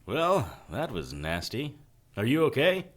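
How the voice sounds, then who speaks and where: An actor playing a tough, sardonic 1940s detective hero in an audio drama, tossing off hardboiled quips. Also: male voice, medium pitch, wildly swooping pitch, a little slow. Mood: wry, casual. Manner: wry, hardboiled, offhand quips. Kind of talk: full-cast period audio drama acting.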